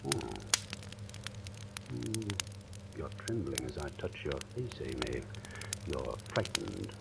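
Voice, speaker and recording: A menacing, imperious writer in an old radio play. His voice is very deep and swings widely as he gives commands with sardonic edge. The vintage recording is noisy, with clicks. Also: big pitch swings, slow, creaky, exaggerated voice. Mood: menacing calm. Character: domineering, sardonic writer, rumoured wife-killer, menacing. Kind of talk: 1940s radio drama acting.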